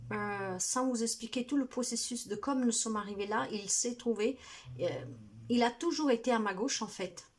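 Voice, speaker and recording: A woman spiritual healer speaking to her viewers in a soft, earnest, halting way, full of fillers, and sounding tired. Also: on the high side. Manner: hesitant, halting, many fillers. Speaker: spiritual healer and card reader. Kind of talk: casual monologue to viewers.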